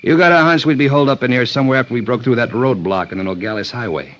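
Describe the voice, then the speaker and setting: A man's voice: medium pitch, racing, fairly bright tone, close to an everyday voice. An actor playing a jumpy, suspicious hard-boiled gunman, talking in threatening, slangy tough-guy speech in a 1950s radio crime drama. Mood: suspicious, sneering. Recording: dull, noisy old radio recording.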